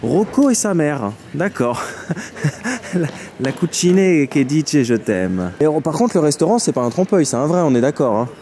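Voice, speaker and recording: A man's voice: on the high side, big pitch swings, unhurried, dark tone. Chatty Parisian man vlogging in the street. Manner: chatty, jokey, laughs often. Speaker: travel vlogger. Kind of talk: casual vlog chat. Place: city streets outdoors. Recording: street noise outdoors.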